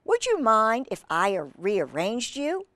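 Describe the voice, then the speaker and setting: A woman voicing a polite, earnest male hermit crab in a storybook, with a courteous, drawn-out delivery. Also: a little high, wildly swooping pitch, a bit bright, no room sound, studio quality, full character voice. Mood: polite, pleased.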